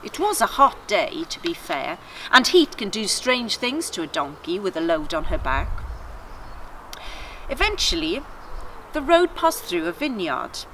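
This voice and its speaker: A woman's voice: medium pitch, very animated, a little slow, fairly bright tone, slight background noise. A woman voicing a wry, aggrieved female donkey who chattily tells her tale in a children's story. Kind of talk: children's story read aloud.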